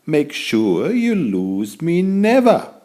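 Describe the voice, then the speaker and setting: A man voicing a gentle magic tree branch that answers in slow, sing-song rhymes. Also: on the high side, huge pitch swings, pitched above the performer's own voice, full character voice.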